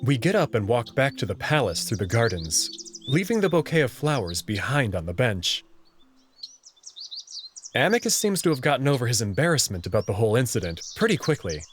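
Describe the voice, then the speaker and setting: A man reading a first-person story narration in an expressive way. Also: medium pitch, fairly quick, slight background noise. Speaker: narrator and reader of a visual novel.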